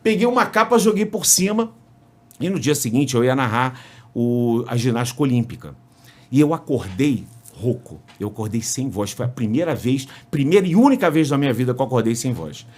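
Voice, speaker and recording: A Brazilian sports commentator with a Brazilian Portuguese accent, a podcast guest telling lively stories with restarts and jokes. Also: male voice, mid-range voice, fairly quick. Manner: animated storyteller, frequent restarts, jokes. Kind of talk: casual interview storytelling.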